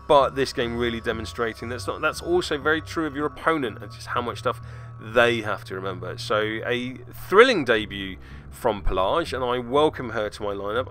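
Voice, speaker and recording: Bright-voiced British man recapping games. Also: mid-range voice. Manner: wry, self-deprecating, rambling. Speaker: host of a tabletop game battle report channel. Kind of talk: casual scripted-free game recap commentary.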